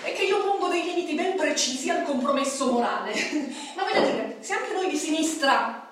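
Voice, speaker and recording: A very echoey, distant recording of a neurotic bourgeois woman in a radio play. Her voice is high, and she chatters on nervously, correcting herself as she goes. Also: expressive, normal speed. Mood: agitated, self-justifying. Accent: Italian. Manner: rambling, self-correcting, nervous laughter. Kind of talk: radio drama acting before an audience. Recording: echoey, distant microphone, faint noise.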